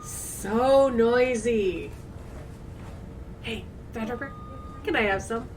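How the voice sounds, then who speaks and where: Slow, distant actress as a spacey woman. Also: on the high side, a little mellow. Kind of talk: audio drama dialogue. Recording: distant voice, noisy ambience.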